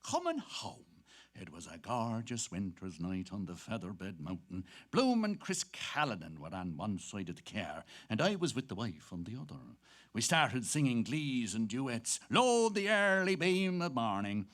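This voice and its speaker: A man's voice: on the low side, wildly swooping pitch, unhurried, a bit bright, heavily acted. An actor with an Irish accent playing a jovial, bawdy Dublin man-about-town, chuckling through a theatrical stage reading. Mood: gleeful, boisterous. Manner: gleeful, chuckling, theatrical storytelling. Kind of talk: animated dramatic reading on stage.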